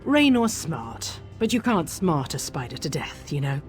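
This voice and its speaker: A woman's voice playing a wry young dark elf explorer, speaking casually in scripted game dialogue. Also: low-pitched, very animated, measured pace, soft background music.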